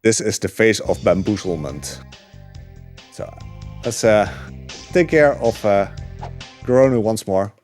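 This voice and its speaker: Low-voiced man casually commentating a game run. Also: unhurried, long pauses, soft background music. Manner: relaxed, rambling, many filler ums and uhs. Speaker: speedrunner commentating his run.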